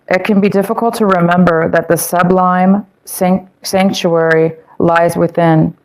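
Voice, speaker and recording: A woman's voice: lowish voice, poor audio. A woman giving a casual tarot reading to her viewers, meandering with fillers and self-corrections, her voice getting worn. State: losing her voice. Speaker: tarot reader.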